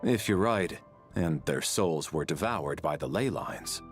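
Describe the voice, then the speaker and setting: A man's voice: extremely low pitch, expressive, unhurried, faint music behind. An actor playing a sober, reserved man in a video game, weighing things up in scripted dialogue. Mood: grave, considering.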